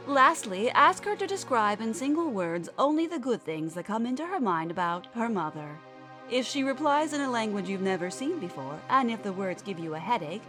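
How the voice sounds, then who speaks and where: A woman narrating scripted fiction in a measured, earnest manner, as a podcast storyteller. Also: mid-range voice, fairly bright tone. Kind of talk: scripted fiction narration, mock advice column.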